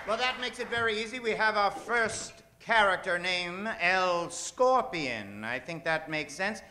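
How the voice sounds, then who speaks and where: A man's voice: sits high, expressive, unhurried, pushed and bright, faint music behind. A man hosting a live comedy radio show from a theater stage, bantering with the audience and drawing out names in a theatrical announcer style.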